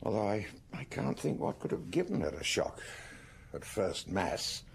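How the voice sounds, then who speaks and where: An actor playing a brusque, worldly older country doctor in a radio drama, dry and matter-of-fact with hesitant asides. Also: male voice, lowish voice, very animated, moderate pace, creaky, slight background noise. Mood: wry, confiding.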